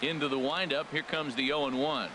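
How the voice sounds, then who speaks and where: A man playing a play-by-play baseball announcer in a video game, with a measured, polished delivery. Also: on the high side, steady tone, natural voice. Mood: steady, attentive. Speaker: play-by-play sports commentator. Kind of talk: scripted baseball commentary. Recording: loud stadium crowd noise over voice. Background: baseball stadium crowd in a video game.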